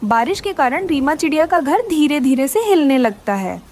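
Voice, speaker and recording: A woman's voice: high-pitched, very animated, normal speed, a little mellow, a little hiss or hum. A woman reading scripted narration for a children's cartoon story.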